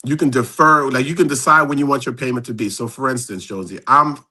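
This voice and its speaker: A man's voice: medium pitch, fast, bright, forceful tone, husky. A man in his fifties, a guest on a live stream, casually walking through numbers step by step. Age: 50s.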